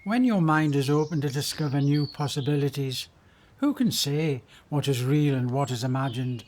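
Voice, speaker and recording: An actress in a community radio play, playing a warden who speaks calmly and thoughtfully. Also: female voice, a bass voice, normal speed, slight background noise. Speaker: reservoir warden.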